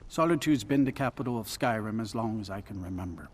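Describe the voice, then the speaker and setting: An actor playing a plain-spoken, matter-of-fact carriage driver in a fantasy video game. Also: male voice, on the low side, expressive, quick pace, slightly rough, far from the mic. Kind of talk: video game character dialogue.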